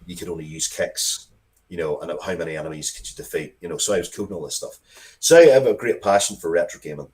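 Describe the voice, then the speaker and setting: Guy with a low voice, casual interview chat, kinda rambly, keeps restarting what he's saying. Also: fast, a little mellow, a little hiss or hum. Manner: rambling, self-interrupting, restarts sentences often. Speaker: interview guest, comics and game writer.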